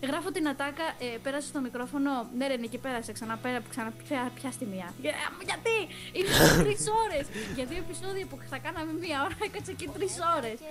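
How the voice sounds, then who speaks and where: A young woman chats casually in an interview as a voice actor, telling things in an animated, slangy way with English words dropped in. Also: high-pitched, hardly any pauses. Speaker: voice actor and dubbing singer being interviewed. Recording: voice with music playing clearly behind. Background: music.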